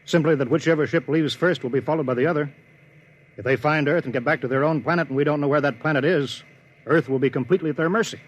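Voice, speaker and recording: An actor playing a stern, weary, resolute starship commander in a 1950s radio drama, authoritative and deliberate. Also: male voice, on the high side, dull audio, natural voice. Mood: grim, urgent.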